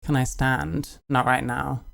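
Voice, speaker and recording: Deadpan British woman with a creaky voice. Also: a bass voice, slow. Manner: flat, offhand and deadpan, with short answers. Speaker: streamer. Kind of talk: casual chat reading and answering viewer comments.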